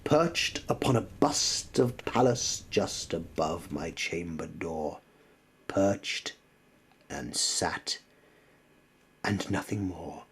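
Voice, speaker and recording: Male reader for gothic poetry: lowish voice, measured and slow, theatrical, sinking into hushed tones, with audible background noise. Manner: theatrical, often dropping to a hushed near-whisper. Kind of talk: dramatic recitation of a gothic poem.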